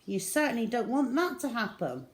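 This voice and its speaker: A woman reading a picture book to young children as a storyteller, with dramatic pauses and emphasis, speaking directly to her listeners. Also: fairly high pitch, very animated.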